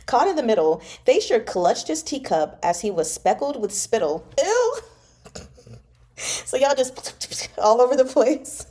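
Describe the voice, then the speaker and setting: Animated woman hosting a read-along. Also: fairly high pitch, measured pace. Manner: informal, slangy, reactive in asides. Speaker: host of a live read-along. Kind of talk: expressive novel narration with casual asides.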